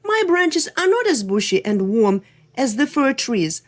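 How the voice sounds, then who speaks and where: Woman doing a gentle old pine tree. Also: high-pitched, sing-song, unhurried, voice pushed up, full character voice. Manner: gentle and protective.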